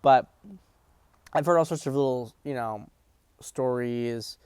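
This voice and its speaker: A man's voice: mid-range voice, takes it slowly, darkish tone, clean tone, dry recording. A man hosting a political commentary stream, talking bluntly and emphatically, swearing freely and often restarting his sentences. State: hiccups. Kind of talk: profane livestream commentary.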